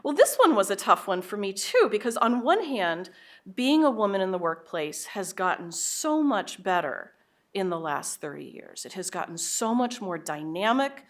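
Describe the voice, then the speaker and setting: A woman in her fifties, a professor, giving a conference talk in a lively, conversational way with a loud voice at a podium microphone in a hall. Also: mid-range voice, moderate pace. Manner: lively, conversational, self-aware. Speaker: keynote speaker, professor.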